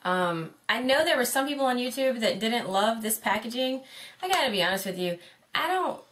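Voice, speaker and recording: A woman's voice: fairly high pitch, lively intonation, a little fast, fairly bright tone. A young woman co-hosting a beauty vlog in casual chat, upbeat and giggly, chiming in often. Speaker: beauty vlogger co-host. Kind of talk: casual chat reviewing makeup.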